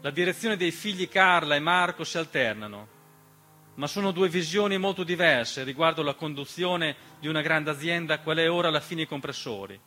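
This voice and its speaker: A man's voice: fairly high pitch, moderate pace, pushed and bright. A man delivering an emphatic, dramatic theatrical monologue as narrator, with rhetorical questions and refrains. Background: piano accompaniment.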